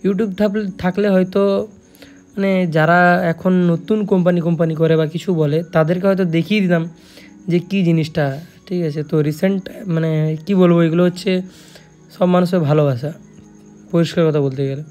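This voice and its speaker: A man's voice: high-pitched, steady tone, moderate pace, pauses often, a little mellow, soft background music. A young man with a West Bengal accent chats casually to viewers in a vlog, explaining things with frequent check-in tags and restarted phrases. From West Bengal, India. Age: young adult. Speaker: speaker repair shop vlogger. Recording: clearly noisy phone vlog recording.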